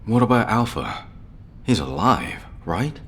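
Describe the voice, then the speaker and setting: An actor voicing a brusque but concerned military doctor in a video game cutscene, blunt and clinical. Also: male voice, on the low side, very animated, a little slow, fairly bright tone, slight background noise, full character voice. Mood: urgent.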